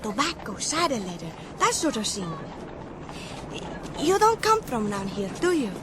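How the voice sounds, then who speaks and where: A woman's voice: a little high, big pitch swings, unhurried, very noisy. An actress playing a polite but cheeky, innocent young Spanish girl in a radio drama, speaking frankly and artlessly. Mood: playful, curious.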